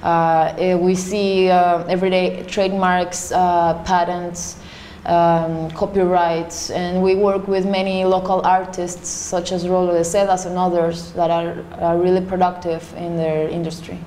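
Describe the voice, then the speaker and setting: A young woman from Panama speaks in a low, even voice that stays fairly flat. She talks through her answers at an easy pace, often stopping for fillers. The room is echoey, with a faint hiss behind her. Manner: thoughtful, pausing often with fillers. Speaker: interview guest. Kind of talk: casual interview answers.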